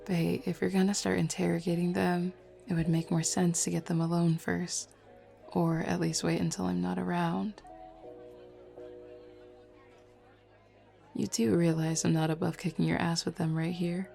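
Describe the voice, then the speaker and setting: A woman's voice: on the low side, little pitch movement, moderate pace, vocal fry, dark tone. A woman playing a flirty, self-assured werewolf pack beta in a roleplay audio drama, talking casually and teasingly to the listener. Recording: lo-fi music bed behind voice.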